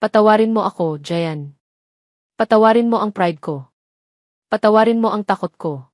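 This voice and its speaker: A woman voicing a remorseful, frightened mother in a romantic audio drama, pleading and halting with the man she loves. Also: mid-range voice, a little mellow, dry recording, clean studio sound, close to an everyday voice.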